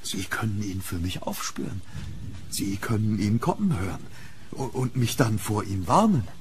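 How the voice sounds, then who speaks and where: A man playing a fearful, hunted gentleman in a German horror radio play, speaking with dramatic urgency. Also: lowish voice, expressive, normal speed, slight background noise, close to an everyday voice. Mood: grim. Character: hunted, fearful English gentleman haunted by a demon.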